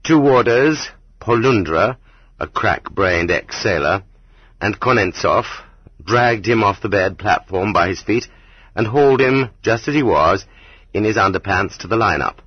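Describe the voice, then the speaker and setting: A man reading an audiobook as scripted literary narration, in a measured, dramatic manner. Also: lowish voice, expressive, husky, no room sound. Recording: slightly dull, muffled audiobook recording.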